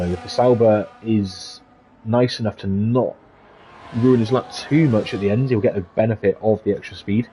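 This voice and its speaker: Chatty male race commentator, mellow tone. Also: on the low side, a little hiss or hum. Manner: chatty, upbeat, playful asides.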